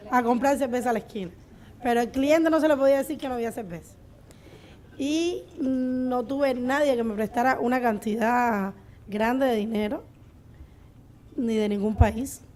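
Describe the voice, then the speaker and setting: Female, Cuban accent, higher-than-average voice, small-business owner answering unscripted at a live panel. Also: mellow. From Cuba. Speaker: restaurant owner on a panel of entrepreneurs.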